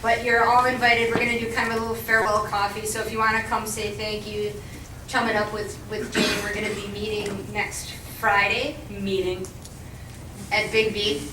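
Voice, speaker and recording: A woman's voice: mid-range voice, little pitch movement, moderate pace. A woman giving informal announcements at a community meeting, friendly, with frequent ums. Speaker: meeting coordinator. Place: meeting room. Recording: roomy, distant, noisy room recording.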